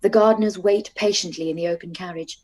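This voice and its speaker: A woman narrating the stage directions of a radio play in a calm, even reading. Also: lowish voice, a little fast, poor audio.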